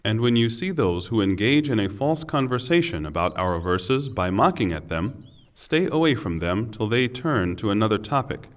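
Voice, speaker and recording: A man reading a scripture translation in a solemn, measured and formal scripted delivery. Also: lowish voice, very animated.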